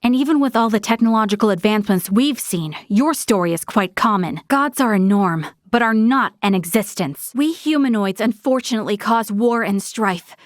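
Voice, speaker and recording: A woman's voice: mid-range voice, animated, normal speed, hardly any pauses, no echo, clean studio sound, close to an everyday voice. A woman voicing a principled, stern young female military officer in a video game, with a formal, earnest delivery. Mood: grave, formal. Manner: formal, earnest, crisply articulate. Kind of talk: video game character voice acting.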